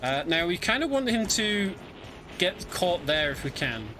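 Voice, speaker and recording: A man giving casual, conversational live commentary on a video game speedrun, often pausing with ums. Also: sits high, very animated. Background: game music.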